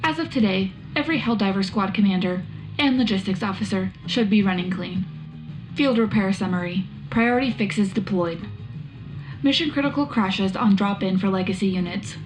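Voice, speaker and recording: Woman as brisk female military officer, sci-fi radio drama, clipped official report, mid-pitched, light music bed. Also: lightly acted, low-quality recording. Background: faint music.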